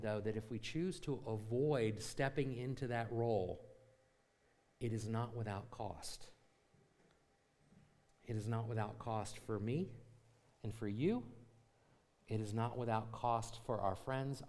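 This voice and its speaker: A man's voice: mid-range voice, a little slow, long pauses, dark tone. Preaching man, rising to exhortation. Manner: asks the congregation rhetorical questions. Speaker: pastor. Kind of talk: sermon. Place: church sanctuary.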